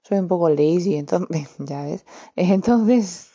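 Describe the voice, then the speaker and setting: Woman, slow and dark-voiced. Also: on the low side, vocal fry, breathy edge, no room sound, lo-fi sound. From Romania. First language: Romanian. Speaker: podcast host. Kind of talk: casual solo podcast, reflective.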